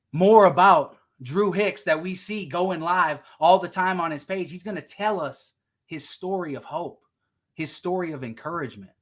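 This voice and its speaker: A man's voice: fairly high pitch, a bit bright. A man hosting a recovery ministry live stream, talking with energy and a preacher-like cadence, repeating phrases for emphasis. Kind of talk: upbeat host introduction.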